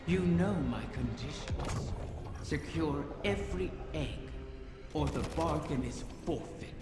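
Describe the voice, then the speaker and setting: An actress playing an ancient female dragon in a video game, sly and imperious, with theatrical, mocking, drawn-out phrasing. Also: low-pitched, lively intonation, heavily acted. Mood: cold, defiant. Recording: long cavernous reverb under loud music.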